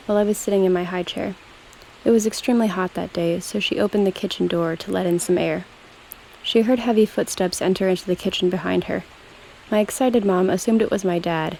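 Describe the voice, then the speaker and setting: A woman narrates a scary story in a mellow voice with a gravelly edge. Her telling is steady and low-key. A faint hiss runs underneath. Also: poor audio. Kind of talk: reading a scary story aloud.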